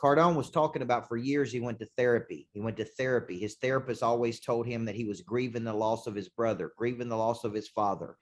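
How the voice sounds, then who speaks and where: A man, a sales coach, giving an intense motivational talk with punchy repetition, slowing down to hammer key points. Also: medium pitch. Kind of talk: motivational talk to a show host. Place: condo.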